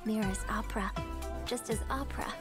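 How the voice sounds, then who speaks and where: A woman's voice: fairly high pitch, brisk, lightly acted. A woman voicing a poised, courteous young opera singer in scripted video game narration, polite and theatrical. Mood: gracious, composed. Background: loud trailer music.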